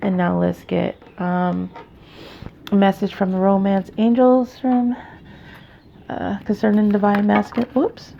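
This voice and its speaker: A tired woman with a raspy voice, hosting a casual card reading in a halting, chatty, informal way. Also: mid-range voice, level tone, dark tone, slight background noise. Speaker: tarot reader, channel host. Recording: dull, muffled lo-fi sound.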